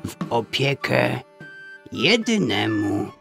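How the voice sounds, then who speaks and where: An actor in a radio play voicing a mysterious, prophetic traveller with a solemn, drawn-out, portentous delivery. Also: medium pitch, very animated, creaky, a bit bright, soft background music, heavily acted. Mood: solemn prophecy.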